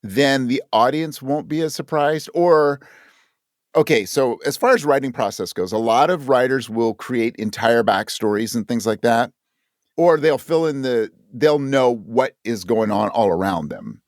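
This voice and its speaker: A man's voice: mid-range voice, lively intonation, a little slow, no room sound. Thoughtful man in a close, dry recording. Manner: thoughtful, searching for words, self-correcting. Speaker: interview guest, audio drama writer. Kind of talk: conversational interview.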